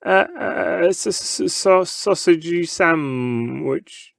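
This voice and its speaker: A man's voice: a little high, extremely slow, creaky, no room sound, studio quality, pitched above the performer's own voice, full character voice. A man voicing a frightened little boy, timid and stuttering, in a storybook. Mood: scared.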